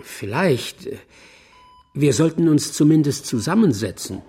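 An actor playing a composed, clinical doctor in a German radio crime drama. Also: male voice, a little high, animated, a little slow, mellow, a little hiss or hum. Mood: clinical, detached. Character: composed, clinical male doctor explaining neuroses.

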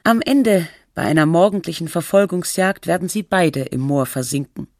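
A woman playing the sober, measured narrator of a literary radio documentary. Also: lowish voice, expressive, darkish tone, dry recording, studio quality. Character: composed documentary narrator of a fictional radio feature.

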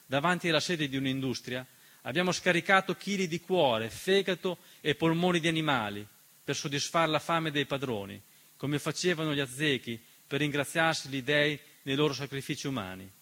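A man's voice: on the high side, normal speed, a bit bright. A man performing a scripted theatrical monologue as narrator, declamatory and accusatory, full of rhetorical questions. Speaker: stage narrator and writer-performer.

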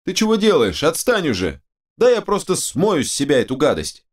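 A man's voice: on the low side, sing-song, normal speed. A man voicing a cocky, defiant adventurer hero with offhand banter in a fantasy audiobook. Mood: defensive.

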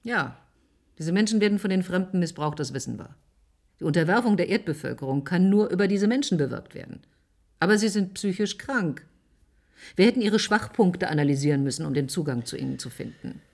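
A woman's voice: on the low side, expressive, fairly quick, a little mellow, no room sound, studio quality. An actress playing an earnest, impassioned woman doctor in a German radio play, with an urgent, insistent manner. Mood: indignant.

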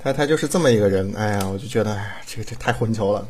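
A man's voice: lowish voice, rapid-fire, darkish tone. A man chatting casually as a drama director, rambling and repeating himself for emphasis. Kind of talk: casual chat.

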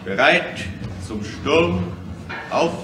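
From far off in an echoing hall, a grave revolutionary chairman addresses delegates. His voice is rather high, and he declaims solemnly in short phrases, as in radio drama. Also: expressive, drawn-out words. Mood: grave, urgent. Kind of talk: oratory in German radio drama. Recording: echoey hall, distant from microphone.

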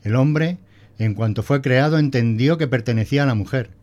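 A man's voice: medium pitch, moderate pace, darkish tone, lo-fi sound, close to an everyday voice. A man playing a prim, pedantic male schoolteacher who lectures didactically in a scripted audio drama. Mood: earnest explaining. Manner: didactic, pompous.

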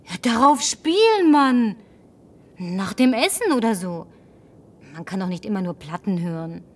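An actress playing a brash, lonely survivor in a German science-fiction radio play, speaking in a brisk, sardonic, bossy way. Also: female voice, mid-range voice, lively intonation, recorded from a distance. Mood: confiding, coaxing. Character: brash, lonely woman, last woman in deserted New York.

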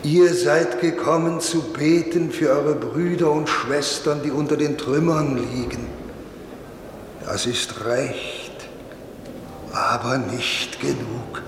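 A solemn old priest preaches sternly and extremely slowly, with weighty pauses. It is an old radio drama recording, noisy, with a long hall reverb around the voice. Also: male voice, a little high. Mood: solemn admonishing. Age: older. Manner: measured, declamatory, rhetorical pauses. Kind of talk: 1950s German radio drama sermon. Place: large reverberant space.

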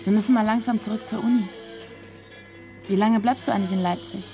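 A woman's voice: mid-range voice, steady tone, a little fast, mellow, faint music behind, lightly acted. An actress playing a casual, frank doctoral student in her thirties in a naturalistic radio play. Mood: casual, inviting. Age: 30s.

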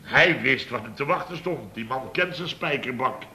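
A man's voice: medium pitch, lively intonation, normal speed, sharp tone, slight background noise. An actor playing a blustering, exasperated boss of a building firm in a radio comedy, exclaiming and repeating himself as he tells his tales. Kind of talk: Dutch radio comedy acting, anecdote telling.

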